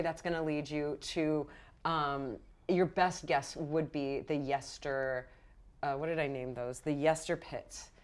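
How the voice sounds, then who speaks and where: A woman with a slightly low voice runs a tabletop roleplaying game. She narrates casually and with animation, rambling as she improvises the scene and slipping into characters' lines. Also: a little mellow. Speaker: game master.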